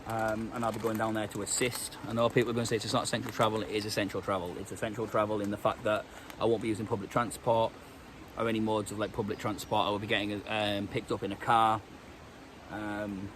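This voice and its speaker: A man's voice: on the low side, flat delivery, quick pace, noisy background. A British man with a stuffy cold, chatting casually in a vlog with lots of ums and restarts. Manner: rambling, many ums and restarts. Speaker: vlogger. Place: outdoors in woodland.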